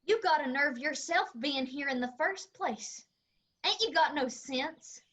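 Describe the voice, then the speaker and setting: A woman playing a sharp-tongued, defiant young backwoods sister in a radio drama, with an Appalachian accent. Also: sits high, unhurried. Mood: curt.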